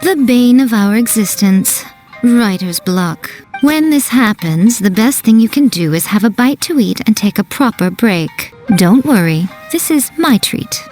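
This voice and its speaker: A woman's voice: fairly high pitch, animated, a little slow, darkish tone, faint music behind. A woman voicing a sly, teasing shrine priestess who runs a publishing house, in a video game. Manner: teasing, playful, superior.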